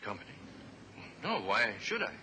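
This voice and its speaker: An actor playing a nervous, weak-willed lawyer who talks smoothly but with hesitation in a 1950s radio drama. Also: male voice, medium pitch, big pitch swings, moderate pace, bright, forceful tone. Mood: wheedling. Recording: old radio recording with faint hiss.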